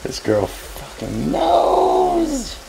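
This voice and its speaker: A man's voice: very high voice, huge pitch swings, drawn-out words, gravelly, a crowd in the background, poor audio. A man in his forties hosting a cooking vlog in his home kitchen, brash, joking and profane. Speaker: cooking show host. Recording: sizzling frying pan behind.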